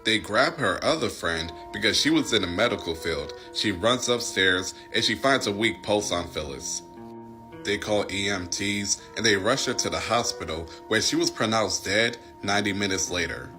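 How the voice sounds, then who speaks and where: A man tells a story as an informal commentator, speaking plainly and conversationally. His voice is fairly low, and guitar music plays clearly behind him. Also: lively intonation, moderate pace.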